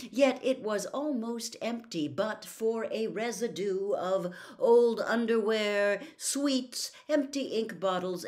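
Older woman reading a novel aloud. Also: a little high. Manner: expressive, shifting into louder declaiming for the quoted leaflet. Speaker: storyteller. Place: small home room with bookshelves.

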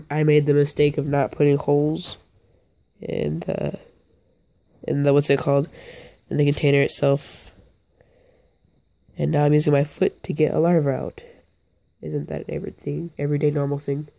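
A young man with a hoarse voice from being a little sick, casually rambling through a hands-on vlog from his floor, often stopping to correct himself. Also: a little high, monotone, moderate pace, long pauses, dark tone. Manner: rambling, self-interrupting, offhand. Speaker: bug-keeping vlogger. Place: indoors, on a carpeted floor.

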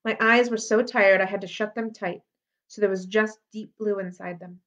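A woman's voice: mid-range voice, steady tone, normal speed, a bit bright. A woman reading a children's novel aloud expressively, as a library storyteller. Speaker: library read-aloud reader. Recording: dull lo-fi audio.

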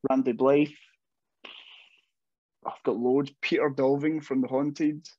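A man's voice: medium pitch, steady tone, slow pace, a little mellow, no echo. A young man, a metal vocalist, chatting casually in an interview over a video call, rambling with many restarts and self-deprecating asides. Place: home room, indoors. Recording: dull, dry video call audio.

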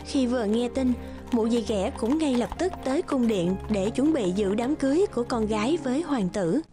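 A woman narrates a children's fairy tale from a script. She tells it as a storyteller in voice-over. Music runs clearly beneath her. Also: a little high, lively intonation, moderate pace.

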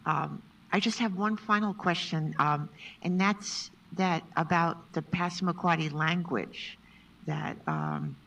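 A moderator asks questions softly and hesitantly, leaning on fillers while thinking. The voice has a gravelly edge and is caught from a distance in a lecture room. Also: medium pitch, expressive, takes it slowly, a little hiss or hum. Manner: soft-spoken, hesitant, many fillers. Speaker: interviewer, seminar moderator. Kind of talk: asking questions at a seminar.

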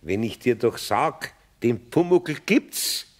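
An actor playing a good-natured older craftsman in a radio play, a homely man with a husky voice and Bavarian accent. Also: mid-range voice, expressive, slow pace. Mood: calm, flustered. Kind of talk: radio play dialogue acting.

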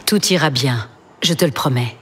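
An actress playing a composed warrior archer who speaks calmly and coolly. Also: female voice, rumbling low, normal speed, slightly rough, heavily acted. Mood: cold. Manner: calm, unshakeable, clipped. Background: music.

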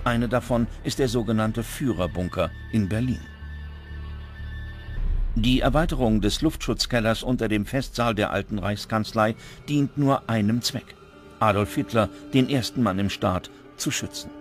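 A man reading scripted documentary narration in a measured, dramatic manner. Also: lowish voice. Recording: music under the voice. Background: background music.

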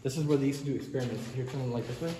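A man's voice: medium pitch, rapid-fire, mellow, echoey room, a little hiss or hum. A man guiding a friend through an abandoned building, talking casually and jumpily and swearing at times, picked up from a distance by the friend's camera. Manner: jumpy, whispering, swearing casually. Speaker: guide showing a friend around. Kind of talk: casual chat. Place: inside a large abandoned building.

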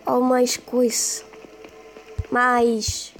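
A woman with a European Portuguese accent, hoarse today, rambling casually through a game playthrough. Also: fairly high pitch, little pitch movement, very slow. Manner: rambling, hesitant. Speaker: gaming youtuber. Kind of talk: casual let's play commentary. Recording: voice over game music.

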